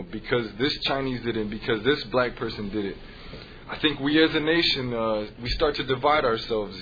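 A man from the United States, a Spanish teacher, speaking earnestly and measuredly with frequent pauses in a panel discussion. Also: medium pitch. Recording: dull lo-fi recording, faint noise.

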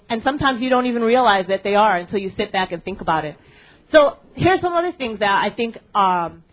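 A young American woman speaking to a student audience at a panel event, asking them questions and pausing for ums and restarts. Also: on the high side. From United States. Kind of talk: public talk with audience interaction. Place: library event space. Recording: tinny phone-like recording.

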